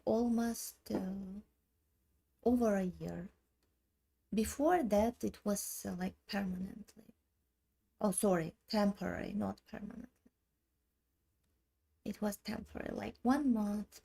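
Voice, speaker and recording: A woman in her thirties from Armenia, who speaks Armenian natively, thinking aloud in a slow, halting way while she plays online chess. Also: mid-range voice, vocal fry, mellow. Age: 30s. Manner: slow, halting, muttering moves half to herself. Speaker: chess streamer.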